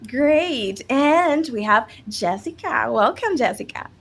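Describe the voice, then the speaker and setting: A woman's voice: a little high, animated, takes it slowly, breathy edge. Upbeat woman hosting a livestream. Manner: upbeat, encouraging presenter, with some restarts. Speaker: livestream host. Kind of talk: hosting a live student panel.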